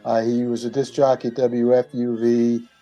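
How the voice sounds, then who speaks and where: An older man speaks as a guest on a radio discussion. His voice is mid-pitched and his talk is casual, drifting into stories, with faint music underneath. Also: completely flat delivery, moderate pace, dark tone, lo-fi sound. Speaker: radio panel guest, author.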